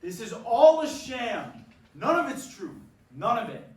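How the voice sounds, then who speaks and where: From a distant mic in a very echoey hall, a man acts out a slippery baseball commissioner for a stage play. He is menacing and brazen, with a voice that swings widely in pitch. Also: very animated, measured pace, exaggerated voice. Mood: indignant, dismissive.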